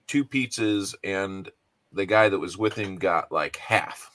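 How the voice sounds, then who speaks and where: A man's voice: sits low, slow pace, fairly bright tone. A man in his forties chatting casually on a livestream, telling easygoing stories with frequent chuckles. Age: 40s. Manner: easygoing storyteller, chuckles often.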